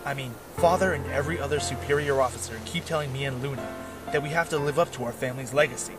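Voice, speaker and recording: A man's voice: medium pitch, quick pace, music in the background, lightly acted. A man playing a jaded, self-doubting male werewolf knight turned drifter in a fantasy audio drama, with a weary, brooding manner. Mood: frustrated.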